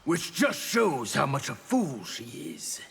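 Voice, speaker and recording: An actor playing a scornful, hostile fantasy fighter in a fighting game, with a sneering, taunting manner. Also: male voice, medium pitch, very animated, slow, creaky, full character voice. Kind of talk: fighting game character dialogue. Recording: loud music, long reverb.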